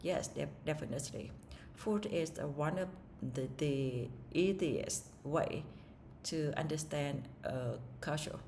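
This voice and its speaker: A woman's voice: deep voice, takes it slowly, a little mellow, slight background noise. A woman whose first language is Vietnamese, practicing English speaking-test answers aloud, asking herself the questions and answering with hesitations and restarts.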